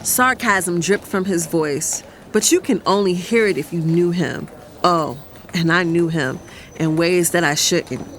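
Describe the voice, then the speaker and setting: A woman's voice: lowish voice, a little slow, slight background noise, lightly acted. A woman playing a sassy, guilt-torn fiancée who narrates her own romantic drama in a confiding way. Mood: confiding, uneasy. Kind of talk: first-person dramatic narration and romantic dialogue.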